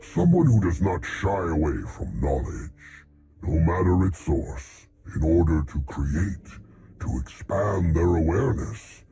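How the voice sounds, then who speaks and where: A husky, gravelly scholarly scientist. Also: male voice, low voice, big pitch swings, a little slow, mellow, faint music behind, full character voice. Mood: admiring. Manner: erudite, formal, wordy. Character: scholarly male scientist, curious, fussy, admiring of knowledge. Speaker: doctor and researcher. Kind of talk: video game cutscene acting.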